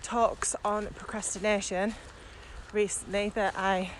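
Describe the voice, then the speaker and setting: A woman's voice: mid-range voice, level tone, a little slow. Woman chatting outdoors over noise. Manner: explanatory, talking while walking. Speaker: podcast co-host. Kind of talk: casual conversational podcast discussion. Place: outdoors, on a village walk. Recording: noisy outdoor recording with ambient sound.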